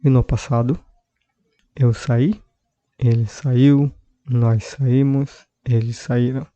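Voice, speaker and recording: Calm Brazilian man teaching slowly. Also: mid-range voice, no room sound, lo-fi sound. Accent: Brazilian Portuguese. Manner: calm, patient, repeats example sentences. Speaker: podcast host and language teacher. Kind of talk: slow explanatory language lesson.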